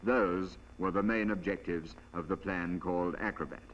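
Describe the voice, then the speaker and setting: Lowish formal male newsreel-style narrator. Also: fairly even intonation, moderate pace. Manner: formal, declarative. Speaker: documentary narrator. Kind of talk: scripted 1940s military film narration. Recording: dull vintage film soundtrack, faint hum.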